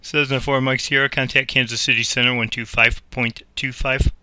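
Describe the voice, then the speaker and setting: A man's voice: mid-range voice, level tone, normal speed, bright, forceful tone, clear, resonant tone, dry recording. A man playing an air traffic controller, mixing radio phraseology with casual commentary on his game.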